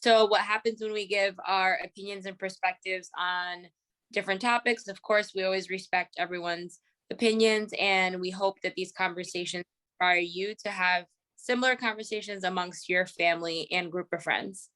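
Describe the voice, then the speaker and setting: A woman hosts a podcast and introduces the episode. She sets out facts in a tidy, prepared way, speaking in a voice of ordinary pitch with no unusual traits. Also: fairly even intonation, normal speed, fairly bright tone. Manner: clear and organized, presents facts. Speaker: podcast host.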